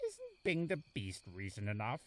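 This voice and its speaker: An actor playing a gruff, lonely male forest beast in a cartoon, curt and dryly dismissive. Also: medium pitch, wildly swooping pitch, normal speed. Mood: brooding. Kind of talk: animated fan drama acting.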